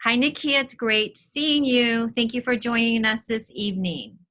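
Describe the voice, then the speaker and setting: A woman's voice: a little high, a little slow, fairly bright tone. A woman hosting an online art talk, reading a polished, welcoming introduction and then interviewing her guest over a video call. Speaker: host.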